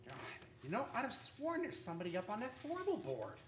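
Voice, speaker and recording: An actor playing a nervous, easily frightened oil-field man in a live old-time radio play, speaking haltingly and repeating his words. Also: very high voice, wildly swooping pitch, fairly bright tone, slight background noise, full character voice. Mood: startled, afraid.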